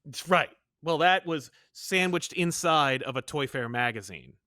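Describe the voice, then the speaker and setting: Guy podcast host, bright forceful voice, opinionated nerdy chat, really dry close sound. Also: a little high, lively intonation, measured pace, no echo. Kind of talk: casual pop culture chat.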